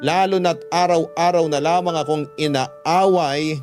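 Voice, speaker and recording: Casting: Filipino male radio narrator, slightly high voice, earnest confessional storytelling over faint music. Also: steady tone, a little slow, a little mellow. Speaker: radio drama narrator reading a letter sender's story. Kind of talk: first-person dramatic confessional narration.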